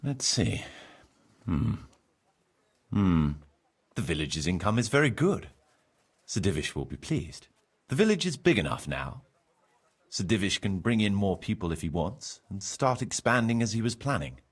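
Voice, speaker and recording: Husky lowish male voice, medieval steward, courteous, deliberate, obliging, video game drama. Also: sing-song, full character voice. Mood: appraising. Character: obliging, measured medieval village building steward. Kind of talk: video game cutscene acting.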